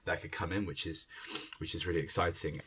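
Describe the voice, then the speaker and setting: A man speaking earnestly in an unscripted discussion as a theatre director, halting and searching for his words. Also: low voice, fairly even intonation, a bit bright, breathy edge. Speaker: theatre director in a panel conversation.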